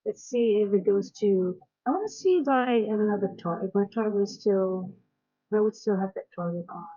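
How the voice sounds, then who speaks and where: A woman with a faint Dutch accent talks loosely on a live stream. She rambles through frank thoughts with lots of fillers and restarts. Her voice is mellow, and the audio sounds dull and lo-fi. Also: medium pitch, a little fast. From Netherlands. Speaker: trading coach streaming live. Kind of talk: casual live-stream chat. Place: home in South Africa.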